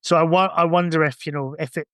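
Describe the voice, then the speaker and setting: A man's voice: on the high side, steady tone, quick pace, a bit bright, no room sound, clean studio sound. A man in casual podcast conversation, thinking aloud in a rambling way. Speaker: podcast guest or co-host. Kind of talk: casual chat.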